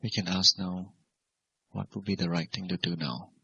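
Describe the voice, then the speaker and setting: A man leads a guided meditation in a low, very husky voice with a gravelly creak. His phrasing is measured and simple, with gentle questions to the meditator. The recording is a little dull and lo-fi. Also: flat delivery. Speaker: Buddhist monk and meditation teacher.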